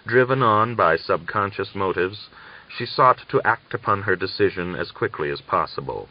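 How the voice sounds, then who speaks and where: Low-voiced man narrating a novel. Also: lively intonation, moderate pace. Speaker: audiobook narrator. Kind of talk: expressive literary narration. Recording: dull lo-fi audiobook recording.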